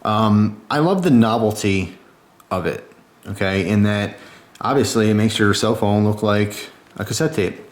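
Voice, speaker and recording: A man's voice: on the low side, measured pace. A man giving a casual product review in a chatty, informal and enthusiastic way.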